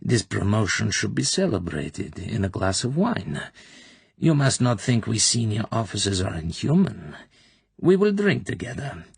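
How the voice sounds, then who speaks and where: Man doing a cold older woman colonel, commanding, honeyed, raised pitch, husky. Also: expressive, unhurried, a bit bright, no echo, heavily acted. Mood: falsely sweet. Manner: smooth, commanding, falsely sweet. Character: cold, domineering older female secret police colonel.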